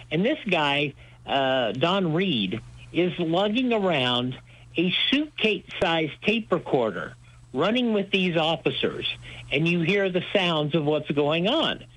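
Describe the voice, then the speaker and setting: A man's voice: on the high side, fairly bright tone, low-quality recording. A man talking enthusiastically as a radio host being interviewed, with an emphatic, folksy storytelling manner. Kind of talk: enthusiastic interview talk about old-time radio.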